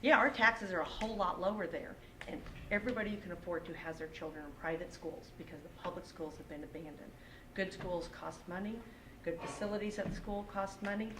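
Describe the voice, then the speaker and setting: A middle-aged woman with a Texan accent speaks earnestly as a parent at a public meeting. Her voice is on the low side. She is heard at some distance from the microphone, with clear noise around her in the room. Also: brisk, echoey room. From Texas, United States. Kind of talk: earnest public comment at a school board meeting.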